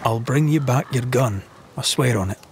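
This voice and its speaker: An actor playing a confident monster hunter in a game cutscene, earnest and wry. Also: male voice, medium pitch, normal speed, a little hiss or hum, lightly acted. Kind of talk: dramatic game cutscene acting.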